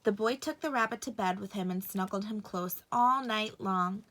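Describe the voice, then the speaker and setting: A woman narrating a bedtime story for children in an expressive storytelling style. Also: mid-range voice, normal speed.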